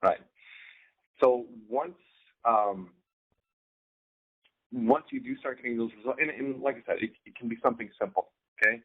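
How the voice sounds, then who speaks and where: Phone-like sounding man, halting informal coach. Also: medium pitch, big pitch swings, vocal fry, slightly rough. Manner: halting, repeats words, often checks in with "right?". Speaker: co-host, online business coach. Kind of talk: informal instructional talk. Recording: thin, phone-like band-limited sound.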